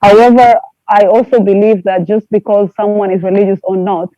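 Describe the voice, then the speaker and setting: A man from Tanzania, a panelist speaking in a measured, earnest way in a casual group discussion. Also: high voice, little pitch movement, warm tone, no echo, lo-fi sound.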